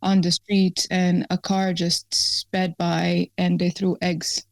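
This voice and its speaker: Lowish gravelly woman from Ethiopia, hesitant interview guest, dry close sound. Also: flat delivery, slow, no echo. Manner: hesitant, many ums and restarts. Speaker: activist guest. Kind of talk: casual interview conversation.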